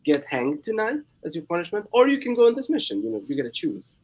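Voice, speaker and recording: A man's voice: sits high, big pitch swings, fast, a little hiss or hum. A young man with a Swiss-Mexican accent, a guest chatting casually on a live stream, laughing often and restarting words. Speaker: guest comic creator.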